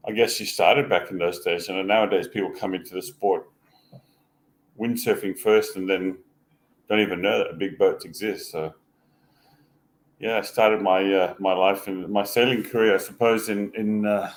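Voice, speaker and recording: A man in his sixties, raised in Hong Kong, recalls his early years for a podcast. His voice sits low, and he talks in halting, reminiscing runs, full of fillers and restarts. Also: steady tone. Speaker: guest, former sail designer. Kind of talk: casual podcast interview.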